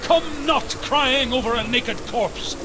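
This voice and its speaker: A man playing a grave, mystical teller of ancient Norse lore in a video game, speaking in a measured, incantatory way. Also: very high voice, background noise. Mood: solemn incantation. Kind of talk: mythic video game storytelling.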